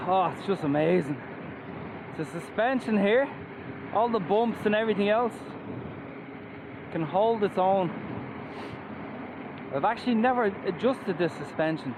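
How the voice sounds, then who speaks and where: A man with an Irish accent chatting enthusiastically while riding an electric scooter outdoors, wearing a helmet and mask. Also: sits high. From Ireland. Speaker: scooter reviewer. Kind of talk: casual ride-along vlog commentary. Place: outdoors on a moving scooter by roads. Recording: outdoor road noise. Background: traffic and wind.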